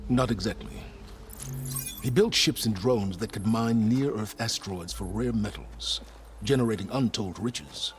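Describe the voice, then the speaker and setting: An actor playing a calculating, worldly older male scholar in a video game, speaking in measured, formal, erudite phrases. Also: fairly low pitch, faint music behind, close to an everyday voice. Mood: wary. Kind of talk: cinematic video game dialogue.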